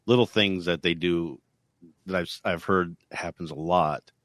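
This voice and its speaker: A man who explains his points in a measured way during a casual podcast discussion. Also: low-pitched, a bit bright, dry recording.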